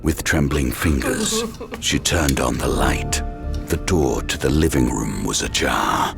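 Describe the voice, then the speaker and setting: A man narrating a thriller audio drama in a measured, ominous, suspenseful style. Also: a bass voice, big pitch swings, vocal fry, heavily acted. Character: ominous male third-person thriller narrator. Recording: loud dramatic music behind the voice.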